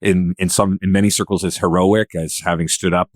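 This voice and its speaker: A man's voice: deep voice, little pitch movement, fairly quick, no room sound, studio quality. A man hosting a casual podcast discussion, rambling as he repeats and rephrases himself. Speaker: podcast host.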